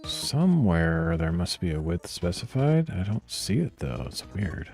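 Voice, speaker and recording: A man with a very deep, grainy voice talks himself through a coding session in a soft, meandering murmur. He clears his throat often, and faint music plays behind him. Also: sing-song, measured pace. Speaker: streamer coding live. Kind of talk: casual thinking aloud while coding.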